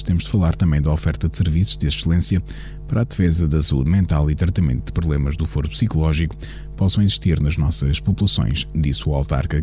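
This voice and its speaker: A man presenting a radio news bulletin in a measured, formal reading voice, with a European Portuguese accent. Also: a bass voice, mellow.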